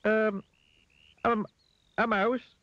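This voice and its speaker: A man's voice: sits high, level tone, very slow, a bit bright, no echo, highs cut off, voice pushed up, full character voice. A man voicing a simple, good-natured silly man in a children's story, with a teasing streak. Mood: puzzled.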